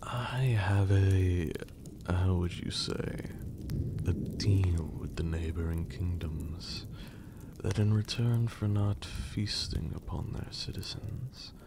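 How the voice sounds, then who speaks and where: A man playing a haughty, teasing gentleman vampire in an intimate ASMR roleplay, speaking formally in a breathy half-whisper with condescending endearments. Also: deep voice, takes it slowly, background noise, exaggerated voice. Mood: smug, menacing.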